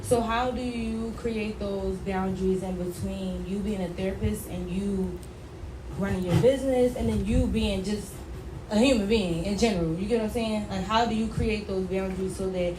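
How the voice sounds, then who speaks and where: A woman's voice: mid-range voice, steady tone, normal speed. An American woman asking questions casually and conversationally as a podcast co-host. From Philadelphia, United States. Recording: echoey room, distant mic, loud background noise.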